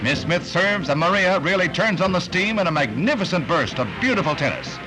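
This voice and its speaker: An American man reading scripted newsreel narration in a brisk, punchy, dramatic 1960s announcer style. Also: high-pitched, lively intonation, poor audio. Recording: vintage newsreel soundtrack, loud music underneath. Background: loud music bed.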